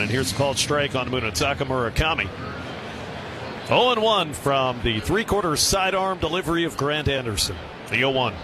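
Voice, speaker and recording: A man's voice: mid-range voice, background noise. A man giving live baseball play-by-play and commentary on the radio. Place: stadium broadcast booth.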